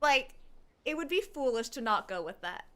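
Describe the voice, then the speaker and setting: High, animated woman streamer. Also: moderate pace. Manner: playful, reactive, teasing. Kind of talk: casual live game commentary.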